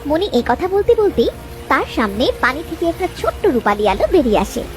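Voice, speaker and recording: A woman's voice: sits high, very animated, brisk, soft background music. A woman narrator telling a fairy tale in a scripted storytelling style.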